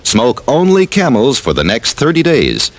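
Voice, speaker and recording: A man reading a 1950s radio sponsor pitch as a second announcer, crisp and confident. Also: wildly swooping pitch, moderate pace.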